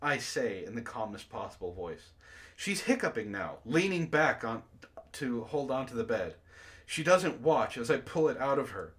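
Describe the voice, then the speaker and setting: A man hosting a comedy book club, reading aloud and bantering casually in an animated, mock-dramatic way, prone to laughing. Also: mid-range voice, moderate pace.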